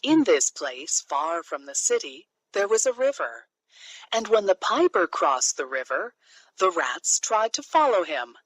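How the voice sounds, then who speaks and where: A woman narrating a children's fairy tale from a script, in an expressive, deliberate storytelling manner. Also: on the low side, breathy edge, dry recording, rough recording.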